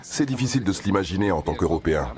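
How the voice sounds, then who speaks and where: A man's voice: low-pitched, lively intonation, very fast. A man giving enthusiastic, explanatory interview testimony. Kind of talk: interview testimony, dubbed voice-over.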